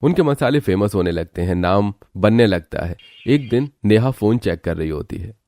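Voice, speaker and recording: A man's voice: on the low side, animated, moderate pace, dark tone. A man narrating a scripted cartoon family story.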